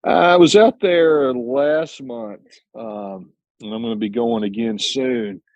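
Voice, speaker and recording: A man's voice: medium pitch, takes it slowly, darkish tone, dry recording, lo-fi sound. A man who drives his own truck, answering interview questions in a plainspoken, earnest way, with many restarts and self-corrections. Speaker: owner-operator truck driver, interview guest.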